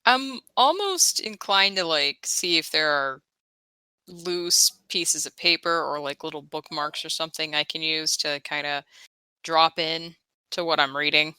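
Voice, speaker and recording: Low, bright-voiced woman playing a tabletop game. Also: a little slow, clear, resonant tone, no room sound. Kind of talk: casual chat while role-playing.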